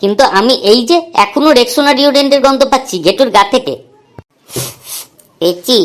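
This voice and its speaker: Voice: sits high, lively intonation, fairly quick. A performer voicing cheeky, playful schoolboys in a comedy cartoon.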